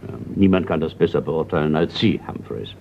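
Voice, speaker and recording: Radio crime drama: older gentleman colonel, courteous, hesitant, creaky voice, muffled noisy recording. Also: rumbling low, steady tone, fairly quick. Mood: businesslike, courteous. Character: retired colonel, older male art collector, courteous Scottish host. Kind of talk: German radio crime play acting.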